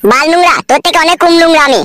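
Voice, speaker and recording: A woman's voice: very high voice, rapid-fire. A woman voicing a crude, mocking husband who comes home late in a cartoon. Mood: bickering. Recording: hissy noise behind.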